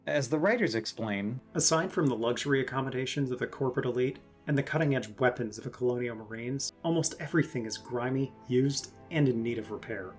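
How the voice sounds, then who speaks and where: A man narrates a scripted video essay in a wry, opinionated, casually profane way. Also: medium pitch.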